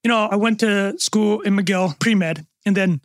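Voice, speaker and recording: A man with a Canadian accent, a podcast guest chatting casually, joking and rambling with quick asides. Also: high-pitched, dry recording, studio quality.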